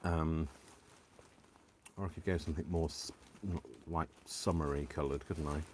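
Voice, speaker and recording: British male host, deep voice, rambling, self-mocking live chat over crafts. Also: steady tone, moderate pace, slight background noise. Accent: British English. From United Kingdom. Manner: rambling and self-deprecating, many ums and laughs. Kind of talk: casual chat to live viewers while crafting.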